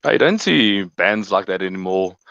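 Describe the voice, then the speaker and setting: A man co-casting an esports match with relaxed, curious remarks. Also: low voice, moderate pace, vocal fry, dry recording, dull audio. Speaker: esports co-caster. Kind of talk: casual esports commentary.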